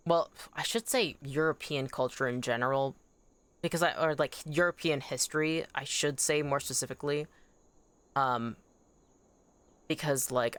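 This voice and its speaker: Clear-voiced, excitable game streamer, native Anishinaabemowin speaker. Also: medium pitch. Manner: animated, excitable, rambling with self-corrections. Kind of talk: casual livestream chat while gaming.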